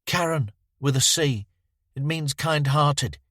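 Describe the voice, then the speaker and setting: A man's voice: on the high side, takes it slowly, fairly bright tone, dry recording, clean studio sound, voice pushed up. A man reading aloud, voicing a prim, matter-of-fact little girl of nearly ten.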